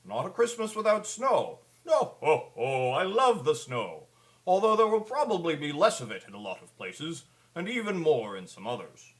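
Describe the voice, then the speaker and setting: A man's voice: fairly high pitch, very animated, normal speed. A man playing a kindly, concerned old Santa Claus, giving an earnest, pleading scripted public address. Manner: earnest, pleading storyteller delivery.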